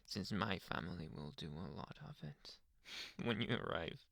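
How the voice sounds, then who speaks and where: A man voicing a devoted, possessive young alpha werewolf boyfriend in an intimate anime ASMR roleplay, breathy and often dropping to a whisper. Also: deep voice, very animated, dry recording, clean studio sound, exaggerated voice. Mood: playful, awkward, soft. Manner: slow, sighing, soft murmured endearments. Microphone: close microphone, dry.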